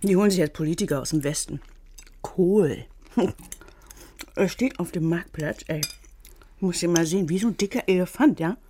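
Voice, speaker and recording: A woman's voice: fairly low pitch, normal speed, pauses often, dark tone, a little hiss or hum, natural voice. An actress playing a wry, idealistic woman in politics, chatting casually over dinner in a naturalistic radio drama. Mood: wry, frustrated. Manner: casual, wry, talking while eating.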